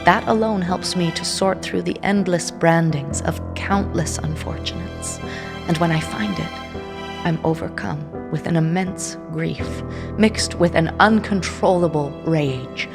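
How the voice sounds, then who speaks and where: An actress plays a young seer narrating in a low, gravelly register, her words intense and often dropping to a hush. She tells the tale in the first person for an audio drama, with a musical score playing beneath her. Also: measured pace, music in the background. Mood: tense. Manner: intense, hushed inner narration. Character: young woman seer reading objects' blood history. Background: orchestral score under the voice.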